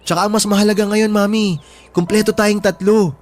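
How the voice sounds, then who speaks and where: A man's voice: sits high, little pitch movement, fairly quick, darkish tone, natural voice. A man playing an affectionate, boyish younger brother in a Filipino radio drama, earnest, with a Filipino accent. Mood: reassuring.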